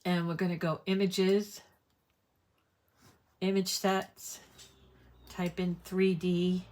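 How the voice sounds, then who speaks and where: A woman's voice: fairly low pitch, level tone, slow pace, a little mellow. A woman hosting a crafting tutorial, talking in a chatty, rambling way while stuffed up with a cold. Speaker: crafting show host.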